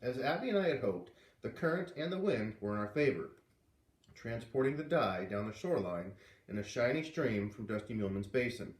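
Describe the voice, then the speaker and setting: A man reads a children's story aloud, bouncing into character voices with big leaps in pitch. His voice sits in the middle of the male range. The sound is roomy and a little distant, as if the mic is across the room. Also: lively intonation, fairly quick. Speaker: reader reading aloud to kids.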